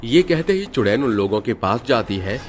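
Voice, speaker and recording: A man's voice: medium pitch, very animated, moderate pace, faint music behind. A man narrating a scripted horror cartoon story in a dramatic storytelling manner.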